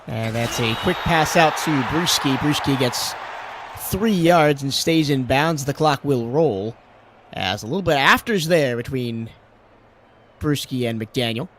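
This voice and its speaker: A man calling play-by-play for a simulated football game, his voice hoarse and lower after a cold, rising sharply in pitch and volume on big plays. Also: expressive, a little slow, fairly bright tone, slight background noise. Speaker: play-by-play commentator. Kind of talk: sports play-by-play commentary.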